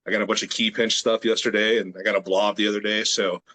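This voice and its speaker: Guest, male, late 30s, from the US, lowish voice, relaxed and meandering, lots of fillers. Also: fast. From United States. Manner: relaxed, rambling with many fillers. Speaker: guest, grip strength athlete. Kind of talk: casual interview chat. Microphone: video call.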